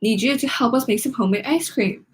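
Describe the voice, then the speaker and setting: A woman voicing an excitable, bossy little sister with a screechy, pleading delivery in a children's book read-aloud. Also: on the high side, fast talker, pitched above the performer's own voice, lightly acted. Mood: excited, demanding.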